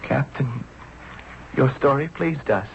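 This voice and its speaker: An actor playing a mild, earnest young pharaoh and forgiving husband in a 1970s radio drama. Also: male voice, medium pitch, takes it slowly, gravelly. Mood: mild, gracious. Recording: dull, noisy old radio broadcast recording.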